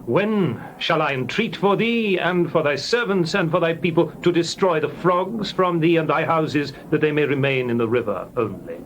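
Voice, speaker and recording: A man's voice: fairly high pitch, normal speed, hardly any pauses, a little hiss or hum, close to an everyday voice, poor audio. A man playing an earnest prophet addressing a king in a dramatized scripture reading, formal and declamatory. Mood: solemn assurance.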